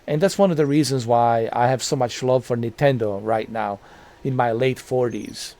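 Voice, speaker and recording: A man's voice: medium pitch, normal speed, warm tone. A man in his forties, hosting a gaming channel and talking casually to his viewers, rambling and repeating himself with many fillers. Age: 40s. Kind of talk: casual talk to camera.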